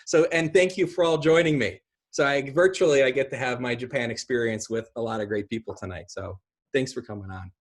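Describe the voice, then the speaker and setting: A middle-aged man talks in a husky voice at a medium pitch. He speaks conversationally, like a guest on a video call, and drifts into asides as he goes. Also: brisk. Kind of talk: conversational online talk on film and pop culture. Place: small room at home, indoors. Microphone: video call, computer at arm's length.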